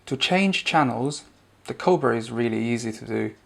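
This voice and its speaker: A man with a mid-pitched voice and a noticeable gravelly creak presents a product review. He explains and demonstrates in a conversational way, talking the listener through each point. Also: lively intonation, moderate pace, fairly bright tone.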